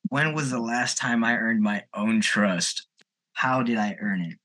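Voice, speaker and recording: A man's voice: medium pitch, unhurried, sharp tone. A man in his mid twenties speaking as a podcast guest in an informal, candid, conversational way. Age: mid 20s. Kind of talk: casual chat.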